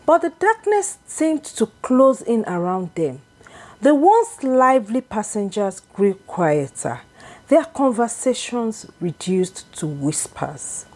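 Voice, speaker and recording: A woman narrating a scripted folktale in a deliberate, expressive, dramatic storytelling manner. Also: mid-range voice.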